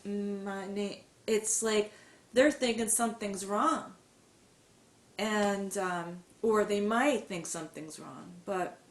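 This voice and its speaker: A woman's voice: mid-range voice, echoey room. A woman who stutters, speaking reflectively as a guest in an interview. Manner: reflective, stutters with blocks and repetitions. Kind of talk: conversational interview.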